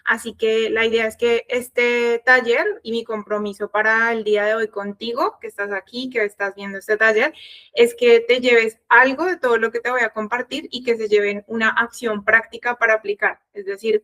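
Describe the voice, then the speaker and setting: Higher-pitched Colombian woman coach. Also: steady tone, moderate pace, a bit bright. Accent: Colombian Spanish. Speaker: sales coach and consultant. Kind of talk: online workshop presentation, conversational.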